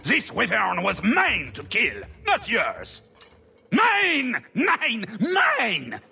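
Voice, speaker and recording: Casting: haughty, hot-headed game baron, high-pitched and wildly swooping, blustering with indignation over music. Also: male voice, huge pitch swings, drawn-out words, vocal fry, bright, forceful tone, slightly rough, full character voice. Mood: haughty, outraged. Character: pompous, hot-tempered, insulting Orlesian baron. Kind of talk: video game cutscene acting.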